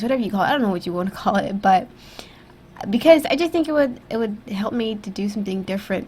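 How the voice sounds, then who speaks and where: A woman's voice: mid-range voice, a little hiss or hum. A young woman whose voice sounds stuffy and throaty after being sick, casually commenting over her video in a rambling, self-critical way with lots of fillers. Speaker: YouTube gaming creator. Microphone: USB desktop microphone.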